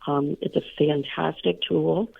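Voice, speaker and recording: A hoarse, raspy-voiced woman co-hosting a radio show, chatting casually with many fillers. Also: sits low, fairly even intonation, a little slow. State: hoarse, recovering from illness. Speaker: radio co-host. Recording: tinny phone line.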